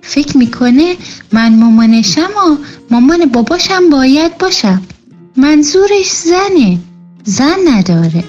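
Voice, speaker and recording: A woman's voice: high voice, sing-song, a little mellow, muffled recording, soft background music. A woman playing a teasing, grumbling young neighbourhood woman with slangy folk speech in a nostalgic radio drama. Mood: fond gossip.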